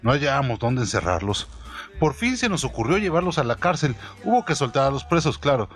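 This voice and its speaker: A man's voice: medium pitch, very animated, brisk. A man narrating a literary audio story in a dramatized radio storytelling style, with music behind him. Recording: soft music under the voice.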